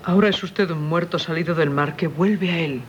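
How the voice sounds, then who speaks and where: An actress playing a passionate, yearning wife in a 1970s radio drama, fervent and theatrical, with a Castilian Spanish accent. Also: female voice, deep voice, moderate pace, natural voice. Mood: resolute. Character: passionate, yearning doctor's wife, torn then resolute. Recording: muffled, slightly noisy 1970s radio recording.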